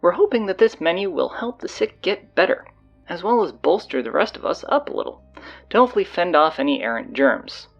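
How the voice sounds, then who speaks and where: Bossy teenage girl announcer played by a woman for audio drama: low register, swooping delivery, blunt sarcasm. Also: sing-song, fairly quick, gravelly, lo-fi sound, faint music behind, heavily acted. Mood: brisk, sardonic. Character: bossy, sardonic teenage high school girl taking over announcements.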